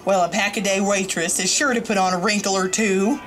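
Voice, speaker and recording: A woman's voice: mid-range voice, fairly even intonation, brisk, over music, natural voice. A middle-aged woman playing a sassy, gossipy small-town diner waitress in an audio drama. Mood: chatty. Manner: folksy, gossipy, wisecracking.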